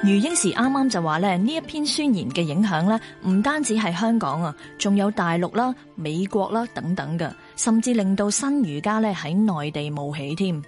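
A woman hosts and narrates a documentary program. She explains clearly and leads in with rhetorical questions. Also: medium pitch, expressive, normal speed, few pauses, darkish tone, soft background music.

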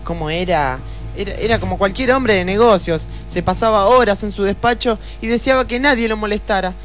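A woman's voice: mid-range voice, a little fast, soft background music, natural voice. A woman acting a wealthy, melodramatic widow in a comic radio play, prim and plaintive, with an Argentine accent.

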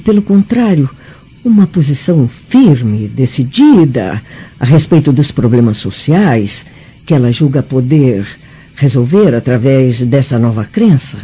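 Husky, haughty Brazilian-voiced Roman noblewoman. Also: sits low, mellow, full character voice. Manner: formal, theatrical, measured, disapproving. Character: stern, scornful Roman noblewoman, mature, haughty traditionalist. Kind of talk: radio drama acting. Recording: dull, muffled radio drama recording.

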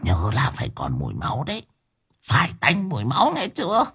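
A man's voice: mid-range voice, huge pitch swings, brisk, a bit bright, a little hiss or hum, pitched above the performer's own voice. A man voicing a ravenous, possessed old grandmother who screeches curses and snarling threats at her family. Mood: furious.

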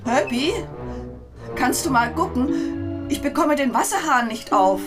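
An old housewife speaks wistfully, fretting and questioning, yet devoted. It is intimate radio drama acting, with music clearly behind her voice. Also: high voice, expressive, unhurried. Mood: anxious, tender. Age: older. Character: elderly wife, wistful, fretful, devoted, housewifely.

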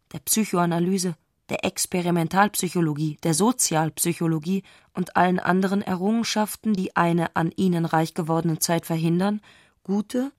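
A woman's voice: on the low side, a little mellow, no echo, clean studio sound. A woman narrating a literary radio drama from a prose text.

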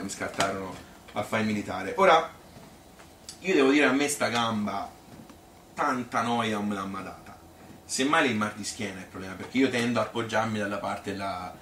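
A man's voice: on the low side, brisk, a bit bright, close to an everyday voice. A male actor playing a chatty Tuscan man who reminisces about his youth in a colloquial, rambling stage monologue, full of repetitions and appeals for agreement. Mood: wry, amused. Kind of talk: spontaneous-sounding theatrical storytelling. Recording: echoey room, distant mic, faint noise.

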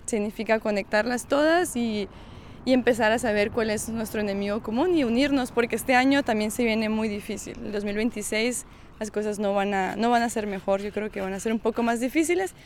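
Higher-voiced northern Mexican woman, street noise behind. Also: a little fast. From Mexicali and the Sonora-California borderlands of northern Mexico. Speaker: activist interviewee. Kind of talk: spontaneous spoken testimony.

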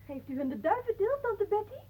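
Casting: eager little miss, extremely high voice, curious, radio-play delivery. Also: female voice, sing-song, fairly quick, mellow. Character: curious, eager young girl. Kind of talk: radio drama acting.

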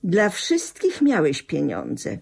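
Radio drama: self-absorbed older wife, husky and unhurried, coolly practical. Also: female voice, lowish voice, wildly swooping pitch, exaggerated voice. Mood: cold, spiteful. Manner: matter-of-fact, coolly dismissive. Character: callous, self-absorbed, materialistic older wife of a dying man.

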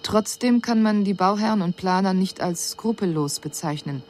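An actress playing an extraterrestrial observer in a radio drama, reporting on human customs in a clinical, measured, matter-of-fact way. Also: female voice, mid-range voice, a little mellow, a little hiss or hum, lightly acted. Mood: detached, critical.

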